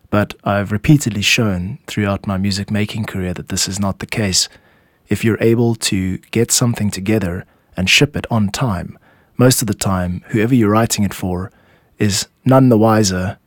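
A man's voice: on the low side, no echo, clean studio sound. A man hosting a show as a music composer, giving scripted explanatory narration. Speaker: podcast host and music composer.

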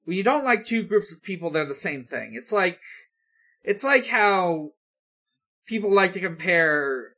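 A podcast co-host casually relaying news in a hesitant, halting way. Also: mid-range voice, sing-song, sharp tone.

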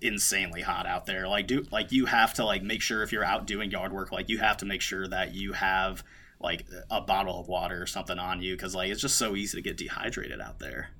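Young man, podcast guest, low-pitched with a bright edge, loose rambling delivery. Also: a little fast. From United States. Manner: relaxed, rambling with many fillers and restarts. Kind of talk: casual conversation.

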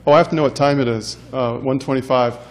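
A male professor giving a lecture in a classroom, loud and wordy by his own account. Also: mid-range voice, fairly even intonation, fast. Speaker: professor and center director. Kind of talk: university lecture. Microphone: handheld mic.

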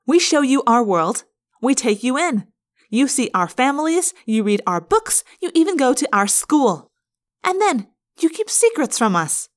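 A woman's voice: high voice, big pitch swings, a little slow, pitched above the performer's own voice. A woman voicing a fierce, confrontational teenage girl in an audiobook, blunt and snapping. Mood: indignant. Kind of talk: audiobook character voice.

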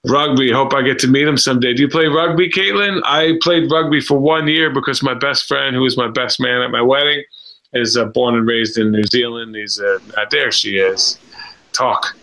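A man in his thirties co-hosting a casual video-call stream, rambling and halting with many fillers. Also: mid-range voice, fairly even intonation, bright, forceful tone, slight background noise, poor audio. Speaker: livestream co-host. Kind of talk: casual chat about reality TV contestants.

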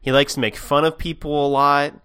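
A man's voice: a little high, level tone, fairly quick, bright, forceful tone, clean tone, dry recording, studio quality. A man co-hosting a podcast, rambling playfully through his theories in casual conversation. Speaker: podcast co-host.

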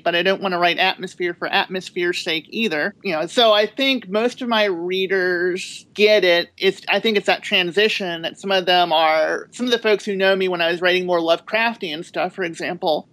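A woman horror author calmly explaining her work in a podcast panel discussion. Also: fairly low pitch, no echo. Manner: reflective, thoughtful, steady explaining.

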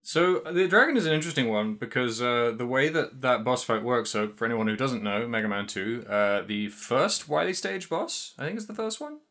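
A man's voice: medium pitch, animated, moderate pace, few pauses, fairly bright tone. A man co-hosting a podcast, chatting casually about video games and rambling through lots of restarts. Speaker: podcast co-host.

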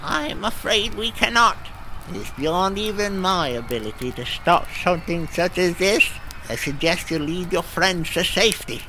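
Stern sardonic raven mentor, high swooping voice, slow formal scolding, audio drama. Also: male voice, lively intonation, noisy background. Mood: grave. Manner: measured, formal, chiding.

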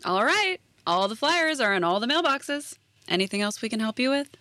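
A woman playing a sardonic, deadpan high school staffer in a naturalistic audio drama. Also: normal speed, dry recording. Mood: dry, mocking.

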